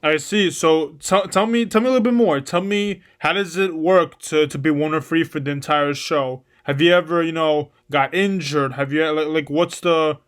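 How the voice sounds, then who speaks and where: Male podcast host, clear tone, asks in halting bursts. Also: fairly high pitch. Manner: hesitant, restarts questions, short reactions. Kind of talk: interviewing a guest.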